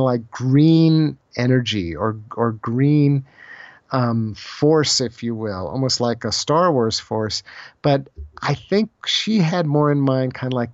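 A man talks in a dry, close, slightly dull lo-fi recording. He explains things as a guest in conversation, thoughtful and halting, often circling back over a phrase before finishing it. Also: mid-range voice, dry recording. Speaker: podcast guest, author on Celtic spirituality.

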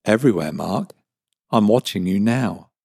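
A smart-speaker AI put on by a male narrator talks in a measured, polite monotone that turns quietly menacing. The voice sits low, with a gravelly grain. It is recorded close and dry for an audio story. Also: no echo, full character voice. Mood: cold, polite.

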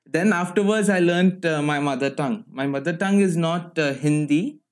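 A young man from India, a guest in a casual chat who agrees often and explains gently. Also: a little high, normal speed. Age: young adult.

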